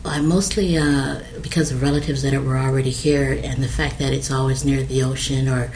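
A middle-aged woman from American Samoa speaks in a flat, level tone, pausing often with "uh" as she recalls things. The recording carries a faint hiss underneath. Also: extremely low pitch, low-quality recording. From American Samoa, raised in Hawaii. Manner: hesitant, many fillers. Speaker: interviewee. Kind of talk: oral history interview.

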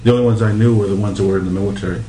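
Middle-aged male interviewee from Hawaii, relaxed dark tone, casually telling memories, roomy sound with faint noise. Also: on the low side, racing. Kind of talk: casual oral history interview, reminiscing. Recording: echoey room with background noise.

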